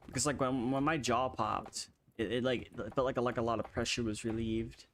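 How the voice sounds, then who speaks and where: A man's voice: mid-range voice, fairly even intonation, a little fast, fairly bright tone, a little hiss or hum. A man with a slight American twang who hosts a game stream and talks in a loose, rambling way full of fillers and restarts. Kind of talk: casual gaming chat.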